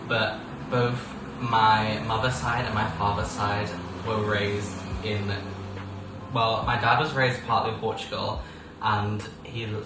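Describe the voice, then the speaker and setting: A sixteen-year-old man hosting a casual podcast, playful and rambling, laughing often as he talks. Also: lowish voice. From England. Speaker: podcast host. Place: indoors at a table. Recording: roomy sound from a distance with background noise.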